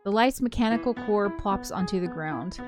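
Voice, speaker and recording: A woman with a mid-range voice reads a visual novel aloud as a let's play co-host. She slips between narration and casual commentary. Game music plays clearly underneath. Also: mid-range voice, normal speed. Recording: music playing behind the voice. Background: game soundtrack music.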